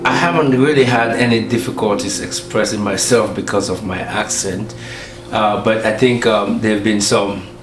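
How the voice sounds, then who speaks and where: Cameroonian-accented pastor, low and roomy. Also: male voice. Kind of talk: documentary interview. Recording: roomy with faint ambient noise.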